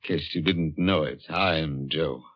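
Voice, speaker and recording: Casting: haunted, nostalgic man, very deep voice, measured 1940s radio drama delivery, muffled archival sound. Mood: guarded, uneasy. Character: Joe Parker, brooding, nostalgic male family friend. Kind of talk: 1940s radio suspense acting. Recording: muffled vintage 1940s radio recording, music.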